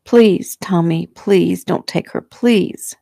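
A woman's voice: on the low side, takes it slowly, vocal fry, dark tone, no echo, studio quality, full character voice. A woman voicing a frightened, distraught mother in an audiobook, pleading desperately for her child. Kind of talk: audiobook character acting.

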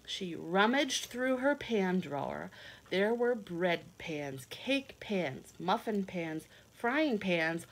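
A woman reads a children's picture book at an unhurried pace. Her pitch moves up and down a great deal in a sing-song, teacherly way, and she speaks as if to young listeners. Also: poor audio. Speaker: read-along storyteller for children. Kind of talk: expressive picture-book read-aloud with asides to young listeners. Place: indoor rooms at home.

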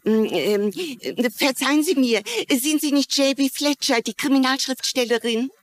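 A slightly high actress as a shy admirer. She speaks in halting, apologetic, gushing TV drama style. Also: no room sound, natural voice. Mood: timid, admiring. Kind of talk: dubbed TV drama dialogue in German.

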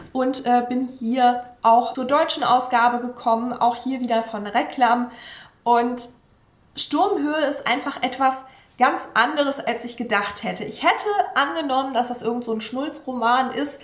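A woman's voice: fairly high pitch, reverberant. A young woman vlogger talking enthusiastically to camera about her favourite classics, with frequent fillers, recorded at desk distance in front of bookshelves. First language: German. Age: young adult. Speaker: book vlogger. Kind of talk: casual book talk to camera. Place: room with bookshelves behind her.

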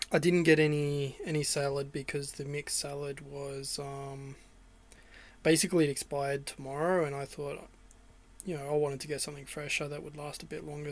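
Chatty Australian man in a van. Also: a little high. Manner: chatty, many ums and restarts. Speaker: vlogger. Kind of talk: casual cooking walkthrough. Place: inside a small van kitchen.

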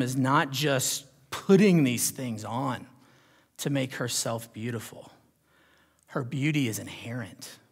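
A man's voice: mid-range voice, breathy edge. Man preaching in a reverberant hall. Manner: dramatic, swinging from hushed near-whispers to loud emphatic declarations; rhetorical questions and check-ins with listeners. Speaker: pastor preaching. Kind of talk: sermon.

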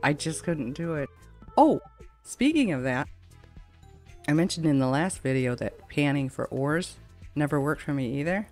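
A woman hosts a gaming video in a distinctly low-pitched voice. Her commentary is casual and conversational, wandering from one thought to the next. Faint game music plays underneath her. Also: expressive, moderate pace, pauses often, a little mellow. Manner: chatty, rambling, conversational. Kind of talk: casual let's play commentary. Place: at home, window open a crack, fan running.

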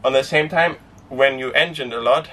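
A young man who grew up speaking Danish, chatting casually to camera inside a small sailboat, relaxed and rambling with lots of fillers. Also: mid-range voice, normal speed, recorded from a distance. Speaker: sailing vlogger. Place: inside a small sailboat cabin and cockpit tent.

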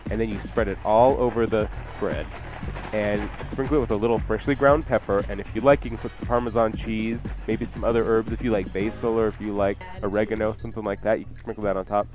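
A man's voice: lowish voice, fairly even intonation, quick pace, low-quality recording. A man hosting a radio cooking show, casually explaining recipes in a jokey, chatty way. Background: music playing behind the voice.

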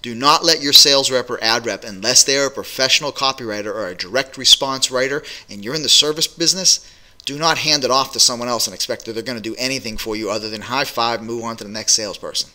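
A man's voice: mid-range voice, quick pace. A middle-aged man coaching business owners straight to a webcam in a small home office, talking directly with plenty of uhs. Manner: energetic, direct, many fillers. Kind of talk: direct-to-camera business advice vlog. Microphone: webcam at desk.

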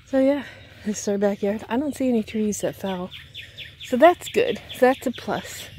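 A woman you would hear on a homemade walking vlog, rambling to her handheld camera outside, with plenty of outdoor noise around her mid-pitched voice. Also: moderate pace, mellow. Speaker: vlogger. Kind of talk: casual walking vlog chat. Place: outdoors on a wooded gravel driveway and yard. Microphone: handheld phone or camera. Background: clear outdoor ambient noise.

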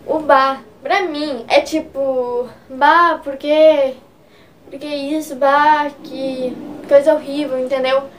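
Young gaúcha girl vlogging, high voice, lots of "tipo", faint room hum, camera a few feet away. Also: steady tone, slow. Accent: Gaúcho Brazilian Portuguese. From Rio Grande do Sul, Brazil. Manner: chatty, filler-heavy, gesturing a lot with both hands. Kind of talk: casual vlog explaining regional slang.

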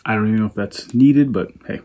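A man in his thirties with a husky voice, calmly talking himself through a hands-on install at a table indoors, often half under his breath. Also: lowish voice, fast talker. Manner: relaxed, muttering step by step, often half-whispered. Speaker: fishing channel host. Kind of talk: casual how-to narration while working.

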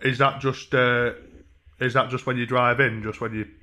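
Young man, Northern English accent, bright forceful voice, deadpan mock-earnest prank caller, casual. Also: medium pitch, moderate pace. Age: 20s. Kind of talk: casual prank phone call. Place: small room at home. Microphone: desk microphone on a stand.

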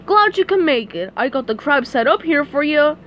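Lady doing a smug tiny cartoon bad guy, mocking and fake polite, highish voice, noisy background. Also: lively intonation, normal speed, a bit bright, muffled recording. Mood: fawning.

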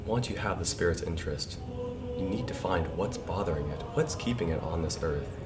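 Young man playing a very deep-voiced solemn priest. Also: lively intonation, moderate pace, distant mic, over loud music. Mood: solemn, instructive. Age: young adult. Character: solemn young priest who specializes in exorcisms. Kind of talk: mock instructional narration.

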